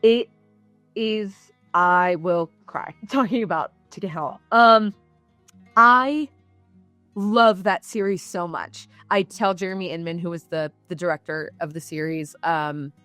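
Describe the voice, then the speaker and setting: A young woman voice actor chatting in an interview, animated and gushing, full of fillers and quick asides. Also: on the low side, clean tone, no echo, faint music behind. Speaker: voice actor guest. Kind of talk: casual interview chat.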